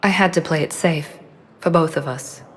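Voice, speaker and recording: Low-voiced, guarded woman operative played by an actress. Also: normal speed, big-room sound, natural voice. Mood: resolute. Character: poised, guarded former operative woman, quietly vulnerable. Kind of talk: dramatic video game acting.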